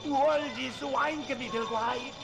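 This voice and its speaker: An actor playing a deranged, haunted asylum inmate in a theatrical old-time radio horror drama. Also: male voice, extremely high pitch, steady tone, creaky, over loud music, exaggerated voice. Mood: crazed frenzy. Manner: theatrical, halting, swinging from hushed dread to frenzy. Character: deranged, haunted man confined to an asylum, pleading innocence. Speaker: narrator and lead actor. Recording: noisy vintage radio recording.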